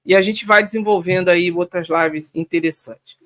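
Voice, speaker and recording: Relaxed Brazilian host, high voice. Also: male voice, moderate pace. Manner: relaxed, informal sign-offs. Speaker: live-stream host. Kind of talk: casual live-stream hosting, reading viewer comments.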